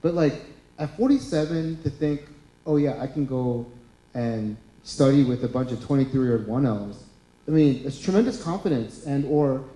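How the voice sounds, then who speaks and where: A man's voice: medium pitch, moderate pace, dark tone, reverberant, low-quality recording. A man in his thirties moderating a panel discussion, asking questions in a conversational, friendly way. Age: 30s. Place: lecture hall.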